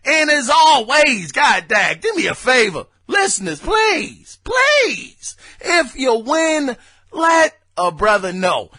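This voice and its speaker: A young adult man hosting a casual gaming news podcast, hoarse, excitable and prone to loud bursts and folksy asides. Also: extremely high pitch, slow pace, no echo, studio quality. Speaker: podcast host.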